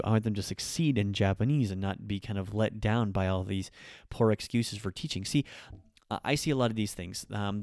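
A man's voice: lowish voice, darkish tone. A man hosting a podcast, talking through his views on teaching in an unscripted monologue with many ums and restarts. He is a native English speaker. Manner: frank, opinionated, with frequent ums and restarts. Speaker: podcast host and language teacher.

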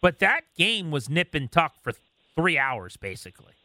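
Bright-voiced American male sports host. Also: a little high, big pitch swings, moderate pace, dry recording, studio quality. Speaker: sports talk show host. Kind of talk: casual sports chat.

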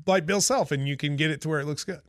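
A man's voice: fairly high pitch, quick pace, no echo, studio quality. A man hosting a podcast in a casual, bantering style. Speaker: podcast host.

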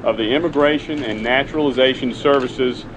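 A man's voice: mid-range voice, fairly quick. A man giving a formal press statement as a police spokesman. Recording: noisy outdoor archive press conference audio.

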